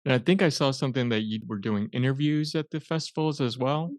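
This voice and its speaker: Male podcast host, smooth resonant voice, admiring, casual, dry sound. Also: medium pitch, a little fast, no echo. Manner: friendly, admiring questions. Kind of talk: casual interview questions.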